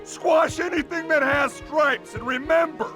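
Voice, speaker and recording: A man's voice: extremely high pitch, measured pace, over music. A man playing a pompous, hot-headed boyfriend in a cartoon game, theatrical, bossy and overwrought. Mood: ranting, alarmed. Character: pompous, hot-headed human boyfriend who hates bees.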